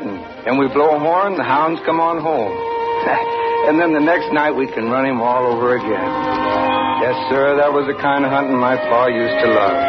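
An actor playing a young farm son who narrates in a homespun, folksy way in a 1940s radio play. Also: male voice, medium pitch, natural voice. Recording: dull old radio broadcast.

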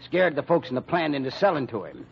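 An actor playing a dour, pessimistic company treasurer who grumbles through an American old-time radio mystery. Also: male voice, on the high side, very animated, fast, creaky, slight background noise. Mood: bitter. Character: sour, gloomy, grumbling male company treasurer. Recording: dull vintage radio recording.

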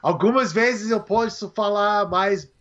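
Um homem do Canadá, falante nativo de inglês com sotaque canadense, conversa num português hesitante, procurando as palavras, como convidado de uma entrevista. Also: male voice, high voice, a bit bright, dull audio. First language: English. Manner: halting, searching for words in a second language. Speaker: guest. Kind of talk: casual interview chat.

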